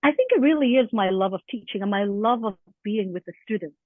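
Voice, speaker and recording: A woman's voice: medium pitch, expressive, brisk, no room sound. A woman with a mild Edinburgh Scottish accent tinged with American, chatting in an interview with frequent fillers and laughs. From Scotland. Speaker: guest teacher. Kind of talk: casual conversational interview. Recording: tinny phone-like line.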